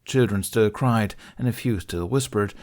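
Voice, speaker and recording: A man's voice: on the low side, normal speed, a little mellow. A man reading a scripted story as an audiobook narrator.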